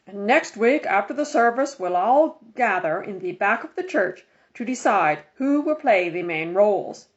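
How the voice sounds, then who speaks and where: A woman voicing a kindly, formal male Sunday school superintendent in an audiobook, speaking deliberately, as if announcing. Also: medium pitch, animated, lo-fi sound, natural voice. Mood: official, upbeat.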